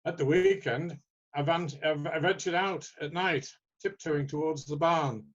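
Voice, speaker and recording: A man's voice: a little high, unhurried, muffled recording. A man reading his own short story aloud as first-person narration.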